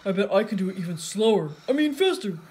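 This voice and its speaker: Lady putting on a loud goofy starfish dude, childlike, dim but sweet, storybook cartoon style. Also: high voice, lively intonation, moderate pace, a little hiss or hum, pitched above the performer's own voice. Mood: boastful. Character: loud, dim, good-natured male cartoon starfish best friend.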